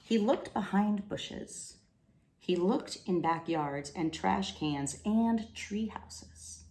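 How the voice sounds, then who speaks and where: A woman reading a children's storybook aloud in an expressive narrating style. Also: mid-range voice, takes it slowly. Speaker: storybook reader. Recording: roomy echo, faint background noise.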